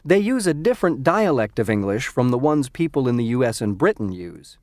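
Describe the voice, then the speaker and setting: A man playing a lively young male student. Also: medium pitch, a little fast, no room sound, studio quality, lightly acted. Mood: friendly. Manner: lively, clearly enunciated. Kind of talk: scripted textbook dialogue. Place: recording studio.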